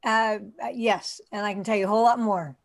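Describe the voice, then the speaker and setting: A woman's voice: medium pitch, steady tone, fairly quick, lightly acted. A woman playing a polite ex-military wellness business owner as a game show contestant, answering briefly. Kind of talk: improvised game show parody.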